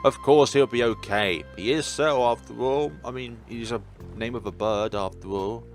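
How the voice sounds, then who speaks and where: A man's voice: mid-range voice, moderate pace, soft background music. A man doing let's-play narration of a visual novel, reading aloud with chatty asides and the odd stumble.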